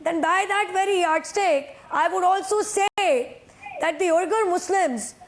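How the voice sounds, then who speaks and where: A woman with a very high voice argues on a television news panel. She presses her points insistently over interruptions, and her voice is getting hoarse. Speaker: political panelist. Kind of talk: heated TV news debate.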